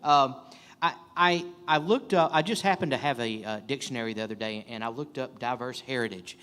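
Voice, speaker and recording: A man's voice: mid-range voice, a little fast, pushed and bright, clean tone, in a large hall. A man hosting a live radio show, who interviews his guest playfully with stammering restarts.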